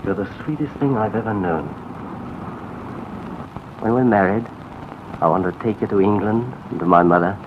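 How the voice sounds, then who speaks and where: An actor playing an earnest, smitten young suitor with tender, ardent delivery in an old romantic drama. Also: male voice, lowish voice, normal speed, long pauses, natural voice. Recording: noisy, tinny old film soundtrack.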